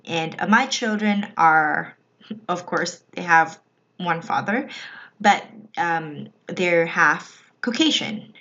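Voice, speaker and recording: A woman from the Philippines vlogging in a casual, reflective way, often pausing and restarting her thoughts. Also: on the low side, pushed and bright. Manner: slow, reflective, with frequent restarts. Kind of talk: personal casual vlog talk. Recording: slightly dull lo-fi recording.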